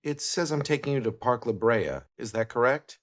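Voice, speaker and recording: A man playing a laid-back, out-of-work cartoon star who drives rideshares, talking calmly and matter-of-factly in short low-key replies. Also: normal speed, natural voice. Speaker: rideshare driver in an animated series.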